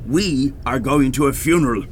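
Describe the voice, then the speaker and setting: A man's voice: medium pitch, normal speed, a little hiss or hum, lightly acted. An actor in a radio play playing a bad-tempered, self-pitying older Dublin father who has died, blustering and stammering, with an Irish accent. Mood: stubborn, blustering. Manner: blustering, stammering when flustered.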